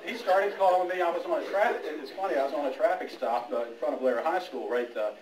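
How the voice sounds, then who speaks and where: A middle-aged man giving an informal retirement speech as a police major, speaking haltingly in an anecdotal way. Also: fairly high pitch, a crowd in the background. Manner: halting, anecdotal, with quoted dialogue. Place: indoor room at a retirement party. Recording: echoey room, distant mic, background noise.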